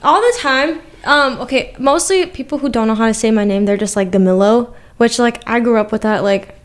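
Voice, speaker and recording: A woman's voice: a little high, a little fast. Rambling young woman guest. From Milwaukee, Wisconsin. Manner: rambling, filler-heavy. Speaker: podcast guest. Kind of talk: casual conversation.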